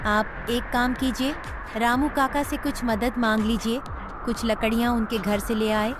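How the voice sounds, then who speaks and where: A woman's voice: a little high, fairly even intonation, unhurried, music in the background, close to an everyday voice. Woman acting a fretful, poor village wife. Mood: concerned. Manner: plaintive, fretful. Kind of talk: Hindi animated moral story acting.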